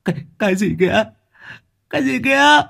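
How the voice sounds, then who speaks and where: A man's voice: very high-pitched, animated, fairly quick, vocal fry, bright, forceful tone, pitched above the performer's own voice, full character voice. Man doing a whimpering, terrified young man. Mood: panicked. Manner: whimpering, begging, stammering. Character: terrified young drug-addled man, pleading.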